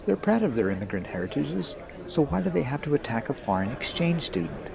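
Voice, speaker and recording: Creaky, animated American schoolboy played by a man. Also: mid-range voice, a little fast. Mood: earnest. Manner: earnest, explanatory. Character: principled, friendly American high school boy, group leader. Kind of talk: audio drama acting. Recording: busy crowd noise behind.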